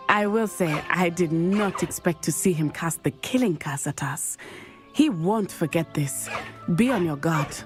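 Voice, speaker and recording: A woman's voice: fairly low pitch, measured pace, natural voice. Woman acting determined young witch schoolgirl. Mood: confident, pleased. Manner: formal, earnest, precise phrasing. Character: determined, brave teenage witch schoolgirl from Uganda. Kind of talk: video game cutscene acting. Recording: game music behind.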